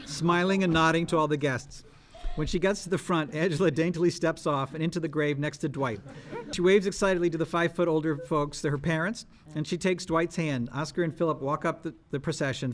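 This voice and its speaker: Man, stage-direction narration, higher register, amused, live table read, faint background noise. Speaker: reader of script stage directions.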